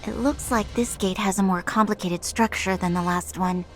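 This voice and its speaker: A composed, resolute warrior woman, played by a woman speaks in a middle-pitched voice. The delivery is anime game style, with music clearly behind. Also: steady tone, moderate pace, lightly acted. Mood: thoughtful.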